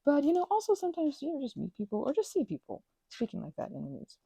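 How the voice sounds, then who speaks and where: A woman's voice: sits high, fast, gravelly, no room sound. A young woman chatting casually while she crafts, rambling with sarcastic asides, recorded close on a microphone. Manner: rambling, animated, with mocking put-on voices and sarcasm. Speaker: ASMR channel host.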